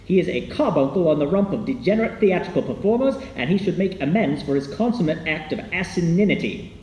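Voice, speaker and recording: Radio docudrama role: actor plays formal male commentator, mellow but slightly high voice, distant mic. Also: lively intonation, close to an everyday voice. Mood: indignant. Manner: formal, measured, wordy. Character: dry indignant commentator on the radio scandal. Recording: echoey, distant, faint noise.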